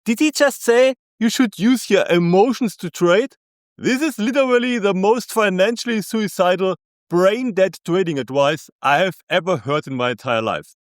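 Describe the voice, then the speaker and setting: A man's voice: high voice, moderate pace, dry recording, studio quality. A man with a German accent delivering scripted commentary in a scornful, ranting, sarcastic manner.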